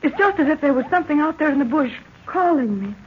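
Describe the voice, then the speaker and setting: A woman's voice: high-pitched, expressive, fast talker. An actress playing an anxious, haunted young woman with melodramatic hesitations in an old-time radio drama. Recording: dull, noisy vintage radio recording.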